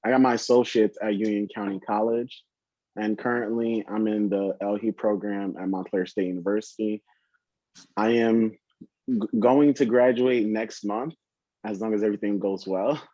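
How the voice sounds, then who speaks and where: A young man in his twenties with a low, dark voice, introducing himself steadily on a video call. The audio is dry and dull, like lo-fi call sound. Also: fairly even intonation, moderate pace, no echo. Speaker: alumni panelist.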